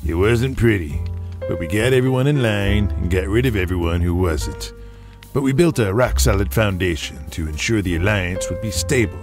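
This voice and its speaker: A man's voice: lowish voice, normal speed, faint music behind, close to an everyday voice. A man playing a resolute elderly father and wrestling promoter who reminisces firmly, in an audio drama. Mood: nostalgic. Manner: reminiscing, firm.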